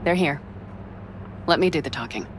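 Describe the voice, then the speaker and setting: An actress playing a tough, hot-headed young nomad smuggler in a video game, with blunt, colloquial, sweary delivery. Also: female voice, sits low, moderate pace. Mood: businesslike, wary. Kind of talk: video game cutscene acting. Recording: clear ambient vehicle noise behind.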